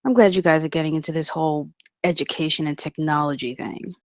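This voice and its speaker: Woman reading a brash executive, creaky low voice. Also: steady tone, fast, no echo, clean studio sound. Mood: confiding, knowing. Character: brash, cynical male corporate executive with a drink. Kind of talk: script table read.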